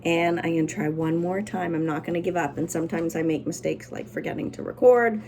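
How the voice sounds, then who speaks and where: A woman's voice: on the low side, fairly quick, vocal fry, a little mellow, slight background noise. A woman teacher doing a children's read-aloud, with simple, encouraging explanations for young listeners.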